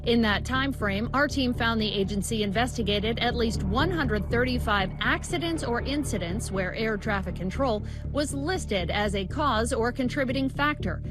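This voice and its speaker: A woman's voice: on the high side, moderate pace, hardly any pauses. A woman narrating a scripted investigative news report in the role of a reporter. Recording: music bed behind the voice.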